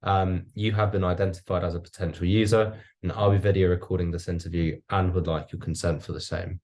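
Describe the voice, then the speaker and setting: A man's voice: deep voice, little pitch movement, a little fast, a little mellow. A young man working through a semi-scripted interview as a student interviewer. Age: young adult.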